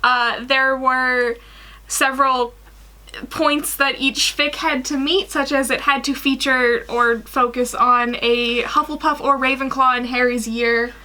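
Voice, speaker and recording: Young woman casual chatting at home, high pitched n bright, kinda giggly. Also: steady tone, a little slow. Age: young adult. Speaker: co-host, guest illustrator. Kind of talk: casual chat. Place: small indoor room at home.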